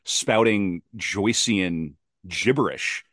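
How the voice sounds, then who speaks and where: A man with a fairly low voice talks as a guest on a casual podcast. He is emphatic and opinionated, doubling back on phrases to drive them home. The sound is dry, with little room around it. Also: takes it slowly, fairly bright tone, dry recording. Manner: emphatic, opinionated, repeats himself.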